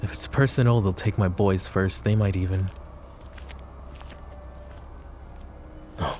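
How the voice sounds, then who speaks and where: A man voicing a possessive, commanding mafia boss in a boyfriend ASMR roleplay, speaking softly and intimately to the listener. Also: low-pitched, fairly even intonation, fairly quick, slight background noise, close to an everyday voice. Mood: grim. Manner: soft, intimate, addresses listener directly.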